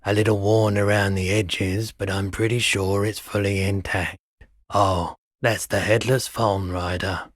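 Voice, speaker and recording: An actor playing a weary, mild-mannered pawnshop owner no longer young in a video game, speaking in an unhurried, low-key, dryly polite way. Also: male voice, little pitch movement, no echo, studio quality. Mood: measured, matter-of-fact. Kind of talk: video game dialogue acting.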